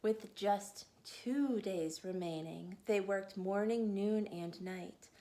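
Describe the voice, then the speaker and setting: A mother reading a rhyming picture book aloud for children, gentle and a little tired, in a steady storytelling cadence. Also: mid-range voice, slow.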